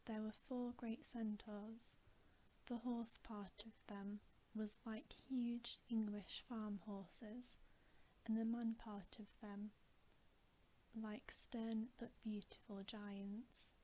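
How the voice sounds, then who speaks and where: A woman reading a storybook in a soft, breathy ASMR whisper, with a gentle, soothing delivery. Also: fairly high pitch, level tone, slow, poor audio. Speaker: ASMR bedtime story reader.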